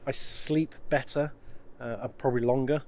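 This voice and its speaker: Casual English male vlogger, mid-pitched, chatty and rambling, cheerful. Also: steady tone, normal speed, a little mellow. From United Kingdom. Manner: rambling, many ums and restarts, upbeat. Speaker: van life vlogger. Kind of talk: casual vlog talk to camera.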